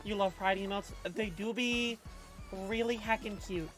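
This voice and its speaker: A woman's voice: medium pitch, fairly even intonation, measured pace. A woman livestreamer chatting casually with her viewers, reading chat aloud in a loose, rambling, slangy way. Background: faint background music.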